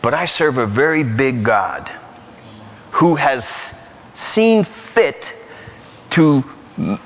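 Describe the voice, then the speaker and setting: A man with a New York accent preaching a lively, joking sermon in a church. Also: medium pitch, very slow, a little hiss or hum. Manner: animated, joking, repetitive. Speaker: guest preacher. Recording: muffled church hall recording.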